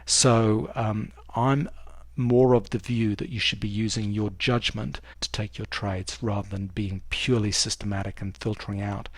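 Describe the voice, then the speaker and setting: A man's voice: fairly low pitch, steady tone, normal speed, dry recording. A man giving a casual, emphatic market commentary as a trading instructor, talking through a screen share in an informal way.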